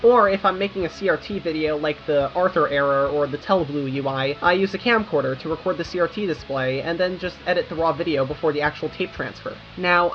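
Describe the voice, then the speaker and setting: A woman's voice: sits low, highs cut off, a little hiss or hum. A young American woman narrating a scripted video essay with informal, wry, self-aware asides. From United States. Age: young adult. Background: background music.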